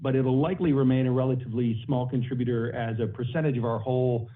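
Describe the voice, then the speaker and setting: A man's voice: medium pitch, steady tone, fast talker. A male company executive giving conversational answers on an earnings call. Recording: narrow phone-like conference line.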